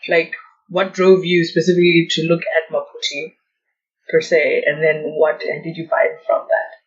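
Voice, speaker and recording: A man's voice: a little high, completely flat delivery, normal speed. A man hosting a podcast, chatting casually and encouragingly with a guest. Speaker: podcast host. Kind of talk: casual interview chat. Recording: dull lo-fi audio.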